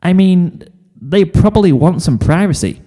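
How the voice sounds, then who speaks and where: A man voicing a sweet, polite, dreamy little girl pony with a gentle, hesitant manner in a storybook reading. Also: high voice, animated, moderate pace, big-room sound, voice pushed up.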